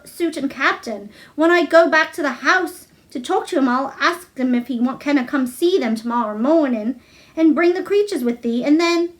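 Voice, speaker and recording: Woman as a high-voiced, determined young girl.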